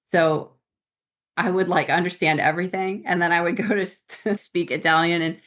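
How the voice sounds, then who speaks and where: A woman's voice: on the low side, fairly even intonation, normal speed, fairly bright tone. A woman guest on a podcast, chatting casually about farm life, laughing often and trailing off mid-sentence. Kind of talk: casual chat.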